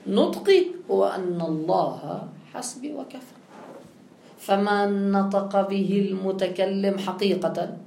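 A woman's voice: mid-range voice, vocal fry, a little mellow, slight background noise. A woman teaching grammar with a touch, explaining step by step and posing her own questions. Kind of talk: classical grammar lesson. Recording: echoey room with faint ambience.

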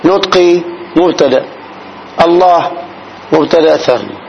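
A man giving a classical grammar lesson. He explains slowly, step by step, and often asks whether his students follow. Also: fairly high pitch. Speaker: Arabic grammar teacher. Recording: dull recording with faint noise.

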